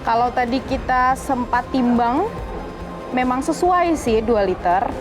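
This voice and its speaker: A woman's voice: a little high, a little slow, music in the background. A woman working as a TV reporter, explaining things to viewers in a conversational, improvised on-location style.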